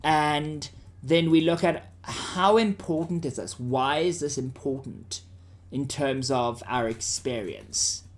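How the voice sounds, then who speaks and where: South african guy teacher, voice a bit on the high side, chatty lesson style, keeps checking you get it. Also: slow pace. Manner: informal, checks listeners' understanding. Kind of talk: conversational lesson to students.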